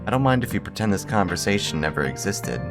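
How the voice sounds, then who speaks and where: A man reading the part of a solemn, devoted high-school girl in a visual novel, halting and pleading. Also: very animated, music in the background. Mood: earnest pleading. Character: lovelorn, formal high-school girl, quietly devoted and tragic. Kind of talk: visual novel dialogue read aloud.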